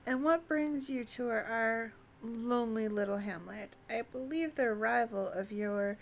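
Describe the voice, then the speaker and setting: A woman's voice: fairly high pitch, unhurried, gravelly, slight background noise. A woman voicing a wry video game villager who is weary of city folk, with old-fashioned phrasing. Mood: wry, dismissive.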